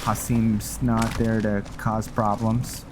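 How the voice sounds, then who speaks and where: A man with a fairly low voice hosts a gameplay video in a casual style. He thinks aloud and wanders haltingly between remarks, with clear noise and game ambience behind him. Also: little pitch movement. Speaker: gameplay video host and role-player.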